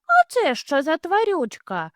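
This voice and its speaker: A tiny excitable bunny. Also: female voice, very high voice, unhurried, dry recording, clean studio sound, pitched above the performer's own voice, heavily acted. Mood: curious. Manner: squealing, pleading.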